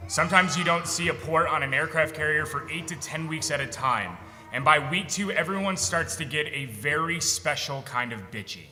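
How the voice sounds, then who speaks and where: A very bright, forceful young man with an American accent delivers a sardonic, candid monologue. His voice rings through a reverberant hall, with music underneath. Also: a little high, moderate pace. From United States, Michigan. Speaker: military veteran performer. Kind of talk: reading a personal monologue on stage. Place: theatre stage in an arts center.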